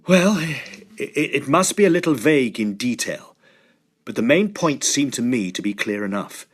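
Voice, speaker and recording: Husky, shaken, intense young Victorian gentleman. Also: medium pitch. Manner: urgent, emphatic, dramatic. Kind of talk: radio drama acting.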